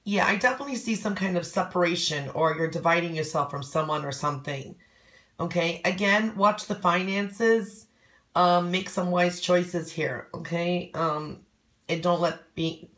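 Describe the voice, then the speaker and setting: Hoarse woman with a deep voice, chatty coffee-cup fortune reader, relaxed and rambling. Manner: loose and conversational, many "um"s and "okay?"s. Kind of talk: casual fortune reading.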